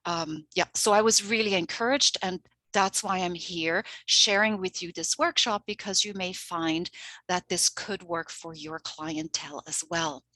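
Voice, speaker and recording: Swiss lady, swiss german native, giving a teacher workshop, lowish voice, dry close sound, chatty and earnest. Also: unhurried, pushed and bright, dry recording. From Switzerland. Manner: earnest, chatty, laughs often. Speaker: language coordinator. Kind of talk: workshop presentation.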